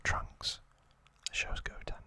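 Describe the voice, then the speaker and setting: Slow whispering man, ASMR style. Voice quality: soft, breathy unvoiced whisper. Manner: slow, halting, informal asides. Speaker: ASMR host. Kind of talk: whispered ASMR trivia recap.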